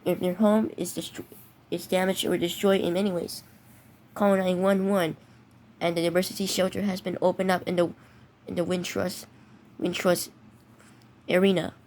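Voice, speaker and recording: Stumbling mock emergency announcer. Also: female voice, on the low side, level tone, a little mellow. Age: teenager. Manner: halting, many restarts and stumbles. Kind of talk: improvised fake emergency broadcast.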